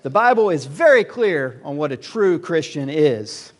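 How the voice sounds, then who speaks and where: An upbeat children's quiz show host, played by a man, with a fairly high voice that swings with animation. He announces everything clearly, like a teacher running a game. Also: sing-song, measured pace, background noise. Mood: upbeat, announcing. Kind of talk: lively game show hosting.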